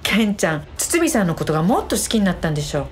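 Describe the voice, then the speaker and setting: A woman's voice: mid-range voice, sing-song, quick pace, slight background noise, close to an everyday voice. A woman playing a cheeky young marriage agency staffer in a radio drama, casual, blunt and teasing. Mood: needling.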